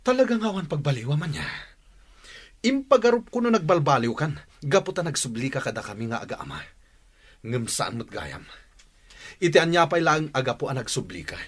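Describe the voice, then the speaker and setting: A man's voice: fairly high pitch, big pitch swings, brisk, long pauses, slightly rough. Man acting a wildly swooping, wounded jealous husband. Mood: hurt, accusing. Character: hurt, accusing husband and father, bitterly jealous. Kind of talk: Ilocano audio drama dialogue.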